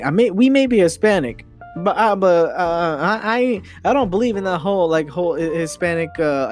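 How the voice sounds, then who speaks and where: A young man hosting a podcast in a rambling, opinionated monologue, halting and repeating phrases with emphatic, mildly sweary delivery. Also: on the high side, darkish tone. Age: young adult. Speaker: podcast host. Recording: guitar music behind the voice. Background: guitar music.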